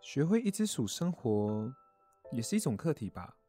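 A man plays a gentle, hospitable mole in a children's audio story. The pitch moves in wide, animated swings while the manner stays caring and reassuring. Faint music plays underneath. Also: moderate pace, natural voice. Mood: wistful. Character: kindly grown-up mole, gentle, hospitable and protective.

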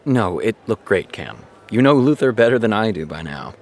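Low, sardonic TV showrunner. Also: male voice, moderate pace. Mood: dry, weary. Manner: deadpan, sardonic, reluctant. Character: dry, weary male creator of hit vampire TV show. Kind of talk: naturalistic audio drama acting.

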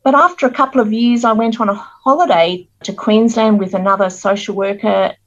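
A middle-aged Australian woman, a guest author telling her life story in an earnest, measured interview manner. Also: mid-range voice, lo-fi sound.

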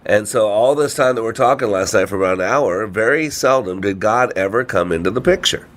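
A man's voice: lowish voice, lively intonation, a little fast, a bit bright. A man with a congested voice hosting a radio show, talking informally and motivationally with frequent rhetorical questions. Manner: asks rhetorical questions, frequent "you know" and "right?". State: congested with a cold or allergies. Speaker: radio show host and trainer.

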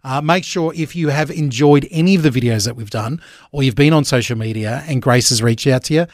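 A man's voice: medium pitch, a little fast, no echo, clean studio sound. A man hosting a breakfast radio show, chatting casually with lots of fillers. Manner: chatty, upbeat, many fillers. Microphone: studio microphone.

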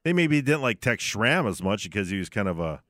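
A man's voice: lowish voice, sing-song, a little fast, fairly bright tone, dry recording. A man co-hosting a casual sports radio show from the studio, talking conversationally with hedges and asides.

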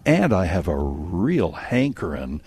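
A man's voice: sits low, sing-song, takes it slowly, a little mellow, slightly rough, dry recording. A man in his sixties chatting casually as a radio host, rambling through stories in a folksy way. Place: on location at a theater.

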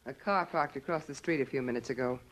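A woman's voice: a bass voice, fast talker, rough recording. A woman playing a bitter, sarcastic woman fed up with her loser man, in 1940s radio acting with cutting delivery. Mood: cold scorn.

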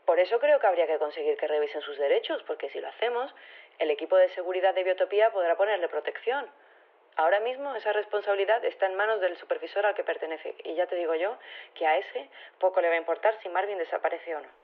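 A woman's voice: on the low side, fast talker. An actress playing an earnest, concerned woman interviewed over the phone in an audio drama. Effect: phone filter. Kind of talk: conversational radio drama interview. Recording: thin narrow phone line.

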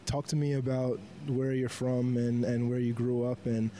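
A man hosting a casual interview, friendly and joking with an old friend, rushing his words when excited. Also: mid-range voice, slight background noise. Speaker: podcast host.

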